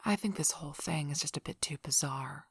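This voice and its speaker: A woman playing a protective, threatening female werewolf pack leader in an ASMR roleplay, speaking in a suspicious, menacing, breathy near-whisper. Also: sits low, a little fast, no echo, studio quality, heavily acted. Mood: accusing, skeptical.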